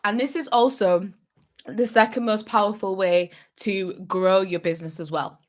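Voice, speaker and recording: A woman's voice: mid-range voice, fairly even intonation, normal speed. A young adult woman, a business coach, giving upbeat, encouraging advice on a live vlog and repeating phrases for emphasis.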